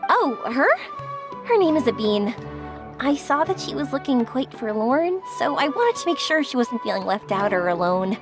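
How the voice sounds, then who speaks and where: A woman playing a cheerful, chatty, warm-hearted young traveler in a video game, bubbly and encouraging. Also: a little high, sing-song, normal speed. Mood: thoughtful, chatty. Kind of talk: scripted video game story dialogue. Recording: studio voice over background music.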